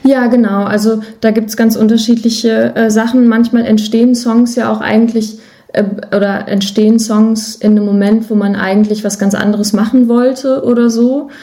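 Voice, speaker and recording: Mellow woman chatting as interview guest. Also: fairly high pitch, steady tone, moderate pace. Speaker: podcast guest, musician. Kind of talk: casual conversational interview answers.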